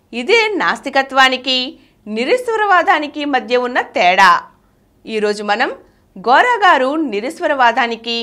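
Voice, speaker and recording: A woman reading an essay from a script in a measured, didactic manner. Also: high-pitched, fairly bright tone. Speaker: audiobook reader.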